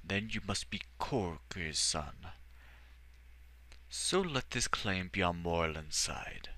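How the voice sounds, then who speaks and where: A man voicing a serene, kindly old male archmage who speaks slowly, gently and measuredly in a storybook reading. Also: low voice, expressive, heavily acted. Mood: calm, reassuring.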